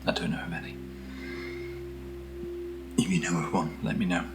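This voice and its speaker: A British man speaking softly and breathily in casual ASMR style, unhurried and gently rambling as he hosts. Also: deep voice. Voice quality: breathy, whispery. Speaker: host of ASMR unboxing videos. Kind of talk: soft-spoken ASMR, casual chat. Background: underlying music.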